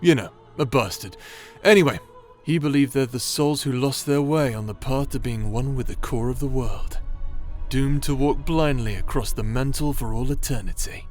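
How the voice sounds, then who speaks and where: A man's voice: medium pitch, normal speed, natural voice. Guy doing a cocky bastard warrior for audio rp, sarcastic n teasing, bit of music behind. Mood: sardonic musing. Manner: sarcastic, irreverent. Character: brash male bastard warrior, sarcastic, teasing, foul-mouthed. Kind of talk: audio roleplay acting.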